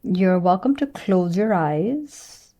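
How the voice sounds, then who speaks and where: A woman teacher leading a guided meditation, speaking in a gentle, soothing way with unhurried pauses between phrases. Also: fairly low pitch, animated.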